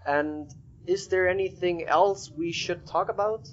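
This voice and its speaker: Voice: mid-range voice, measured pace. An interviewer hosting a casual podcast, heard through a slightly muffled, lo-fi recording. The delivery is informal and conversational. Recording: dull, lo-fi sound.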